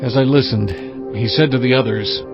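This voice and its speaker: A man narrating a dramatized scripture reading in a measured, steady storytelling way. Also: medium pitch. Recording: dull lo-fi audio with music bed. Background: music.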